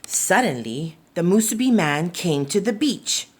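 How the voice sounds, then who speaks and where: A woman's voice: on the low side, husky. Woman reading a picture book, very expressively. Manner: expressive, deliberate storytelling for young children. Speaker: preschool storytime reader. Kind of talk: children's picture book read-aloud.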